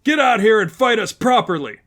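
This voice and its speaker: Guy doing a big armored bad guy from a superhero story, hot headed, yelling high and exasperated. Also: very animated, fairly quick, heavily acted. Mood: furious, defiant. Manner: shouting, exasperated. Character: giant male villain in power armor, hot-headed brute.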